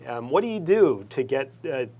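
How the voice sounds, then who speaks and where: Interview host: woman, extremely low voice, halting and casual, short questions and interjections. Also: big pitch swings, dark tone.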